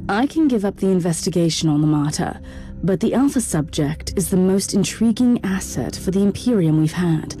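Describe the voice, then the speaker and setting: A woman playing a resolute, cool-headed inquisitor in a science-fiction game, speaking in a measured, formal, authoritative way. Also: on the low side, animated, dark tone, faint music behind, lightly acted. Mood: firm.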